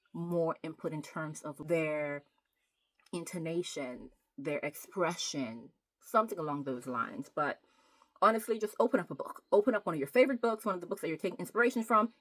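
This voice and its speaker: A woman chatting casually as a book vlogger, talking emphatically and repeating words to stress them. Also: sits low. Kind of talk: casual chatty vlog about writing.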